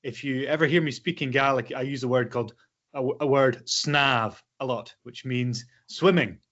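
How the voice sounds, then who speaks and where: A man's voice: mid-range voice, steady tone, normal speed, a bit bright. A Scottish man, a presenter and filmmaker, talking easily and simply to young schoolchildren as a guest. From Scotland. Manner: easygoing, explains simply, with ums and uhs. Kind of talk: friendly guest talk to children. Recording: lo-fi video call audio.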